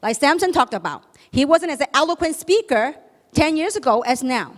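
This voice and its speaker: A woman's voice: sits high, expressive, normal speed. A woman whose first language is Chinese gives an emphatic motivational seminar talk, throwing rhetorical questions at a live audience in a large hall. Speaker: speaker at a business seminar. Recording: long reverb of a large hall.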